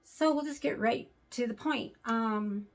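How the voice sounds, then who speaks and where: Woman, young adult, sore throat, raspy, gravelly, fairly high voice, vlog. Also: a little slow. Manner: earnest, hesitant, many fillers. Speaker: vlogger. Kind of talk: casual talk to camera.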